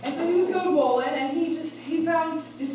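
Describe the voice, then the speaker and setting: A teenage young woman improvising a comic story on stage, halting and repeating her phrases. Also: sits high, distant mic, crowd noise. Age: teens. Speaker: improv performer. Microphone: distant camera microphone. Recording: echoey, noisy theater recorded from afar.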